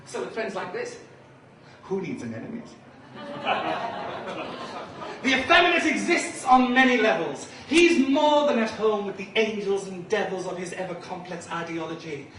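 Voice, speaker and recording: A man's voice: sits high, very animated, moderate pace. A man from Liverpool, a drag performance poet, bantering camply with a live crowd and reciting his poem. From Liverpool, England. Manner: camp, flamboyant, chatty with the crowd. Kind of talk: live stage poetry with banter. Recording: echoey room from far off, noisy.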